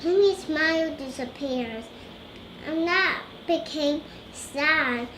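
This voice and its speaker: A young girl reading a children's storybook aloud, halting and stumbling over words. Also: sits high, a bit bright, slight background noise. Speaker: young reader learning to read aloud.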